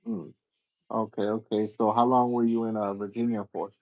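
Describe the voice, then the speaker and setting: A man's voice: lowish voice, steady tone, normal speed, gravelly, no echo, highs cut off. A man hosting a casual livestream interview, slangy and agreeable, asking questions in a laid-back way.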